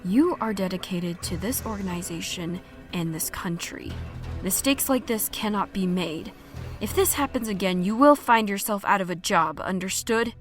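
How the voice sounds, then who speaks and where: Casting: woman playing demanding chief, medium pitch, authoritative and curt, faint music underneath. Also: normal speed, close to an everyday voice. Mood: stern, angry. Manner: commanding, clipped. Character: stern, demanding female boss. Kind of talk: fan audio drama acting.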